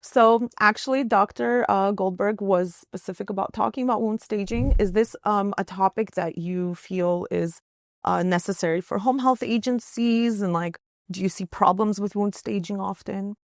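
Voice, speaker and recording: A woman's voice: medium pitch, moderate pace, no echo, clean studio sound. A woman hosting a podcast interview, friendly and effusive as she introduces and questions her guest. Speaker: podcast host.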